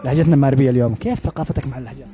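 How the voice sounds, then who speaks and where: A man with a Yemeni accent interviewing passersby in the street with brisk, upbeat questions. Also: mid-range voice, mellow, soft background music. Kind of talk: street vox pop interviews.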